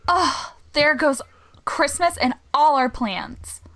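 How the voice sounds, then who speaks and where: A woman's voice: high-pitched, lively intonation, slow, a bit bright, exaggerated voice. A female performer playing a dramatic, earnest teenage daughter in a radio play, with a girlish manner. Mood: dismayed. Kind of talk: old-time radio drama acting.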